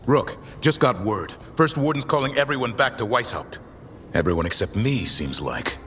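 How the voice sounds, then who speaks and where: An actor playing a wary, dutiful warrior scout in a fantasy game, with clipped, plain-spoken delivery. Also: male voice, mid-range voice, animated, normal speed, fairly bright tone, slightly rough, soft background music. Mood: wary, concerned.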